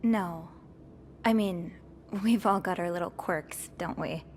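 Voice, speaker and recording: Slow, hesitant hotel maid. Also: female voice, on the low side, a little mellow, slight background noise. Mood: defensive. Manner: hesitant, meek, evasive. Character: hesitant, nosy young hotel maid in the 1950s. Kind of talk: 1950s mystery game acting.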